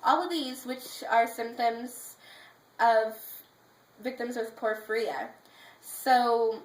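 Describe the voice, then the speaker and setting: Female presenter, slightly high voice, explanatory and a bit halting, informative speech recorded in a roomy space. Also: fairly even intonation. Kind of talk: prepared informative speech with slides. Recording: echoey room with faint background noise.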